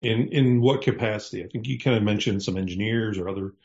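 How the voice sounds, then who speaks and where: Host read: man with a West Michigan accent, lowish voice, encouraging, easy chat, slightly dull audio. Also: fast talker, a little mellow. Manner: encouraging, uses "right?" and "you know". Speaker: podcast host. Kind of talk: casual interview chat.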